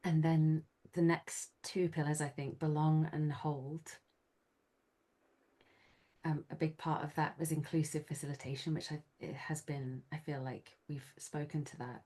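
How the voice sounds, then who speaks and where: A woman hosting an interview over a video call, her voice slightly hoarse, talking in a reflective way and laughing often. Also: deep voice, flat delivery, measured pace, mellow. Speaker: host. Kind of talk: conversational interview.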